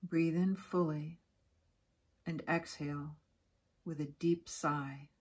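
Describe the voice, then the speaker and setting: A woman guiding a yoga nidra relaxation in a soft, breathy, soothing voice, giving gentle instructions with long pauses. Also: low-pitched, steady tone. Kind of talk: guided relaxation meditation.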